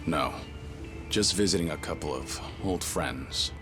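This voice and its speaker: A man's voice: extremely low pitch, unhurried, over music. A man playing a weary, polite, middle-aged tough guy in a video game, speaking in terse, understated lines. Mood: stoic, subdued. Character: stoic, weary, polite older tough guy visiting old friends. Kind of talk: English video game cutscene acting.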